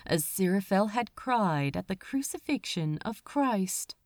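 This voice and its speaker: Woman, audiobook-style fiction reading, lively swooping pitch, measured dramatic phrasing, dry close sound. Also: no echo. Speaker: audiobook reader of fan fiction. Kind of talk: expressive scripted story narration.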